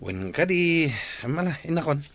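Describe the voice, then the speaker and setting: A man's voice: on the high side, very animated, measured pace, a bit bright, no room sound, exaggerated voice. A man playing a troubled, coaxing father in a radio drama, trying to persuade and placate.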